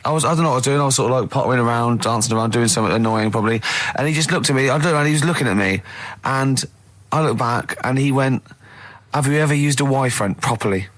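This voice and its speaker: A man's voice: mid-range voice, fast, fairly bright tone, no echo. Casual, teasing male radio host. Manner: teasing, mock-serious, prone to breaking into giggles. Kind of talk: casual comic banter.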